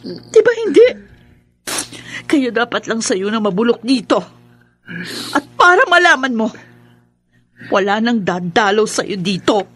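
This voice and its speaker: High, husky wronged young heroine. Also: female voice, big pitch swings, measured pace, pauses often, fairly bright tone, full character voice. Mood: furious, bitter. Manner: emotional, dramatic. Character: wronged young woman, bitter yet hopeful heiress. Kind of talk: Filipino radio drama acting.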